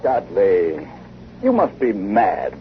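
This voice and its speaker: An actor playing a sceptical, uneasy gentleman in a 1950 radio drama, with a formal, hesitant, incredulous way of speaking. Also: low-pitched, wildly swooping pitch, takes it slowly, heavily acted. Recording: noisy, muffled old radio broadcast.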